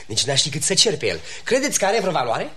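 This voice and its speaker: Obsequious village shoemaker, sly, deferential, very animated intonation, theatrical radio comedy. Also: male voice, a little high, full character voice. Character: humble, flattering village cobbler, deferential but sly. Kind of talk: classic stage comedy radio acting.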